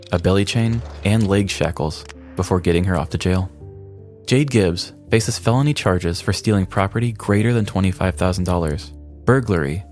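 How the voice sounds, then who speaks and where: Male podcast co-host, low register, scripted humorous crime narration, light music bed. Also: a little mellow. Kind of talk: scripted comedic true-crime storytelling.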